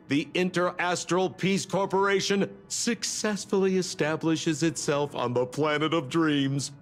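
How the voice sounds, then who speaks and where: An actor playing a flamboyant TV announcer, theatrically promoting a grand spectacle in a scripted game narration. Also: male voice, high-pitched.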